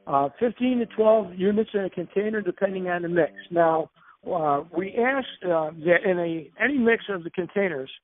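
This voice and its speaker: Over a narrow, tinny telephone conference line comes a man with a slightly high-pitched voice. He leads an informal business presentation, moving through it haltingly and hesitantly, often doubling back to restate things. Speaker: company presenter leading a dealer conference call.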